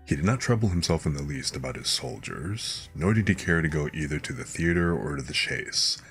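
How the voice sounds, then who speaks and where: A man hosting a bedtime story stream, speaking in a relaxed ASMR storytelling style. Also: very deep voice, creaky.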